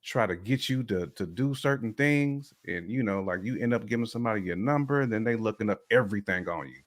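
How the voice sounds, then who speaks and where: Low-voiced man in casual, emphatic chat. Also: lively intonation, fairly quick. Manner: emphatic, repeats phrases, colloquial.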